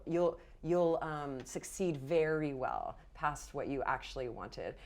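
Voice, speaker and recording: A woman with a slightly low voice runs a tabletop roleplaying game. She talks conversationally, improvising the scene as she goes, and encourages players while walking them through the rules. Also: darkish tone. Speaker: game master.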